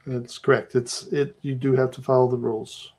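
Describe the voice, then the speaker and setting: A middle-aged man giving a relaxed, chatty conference talk over a video call, with a joking, self-mocking manner. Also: mid-range voice, normal speed. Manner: relaxed, chatty, laughs at himself. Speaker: presenter.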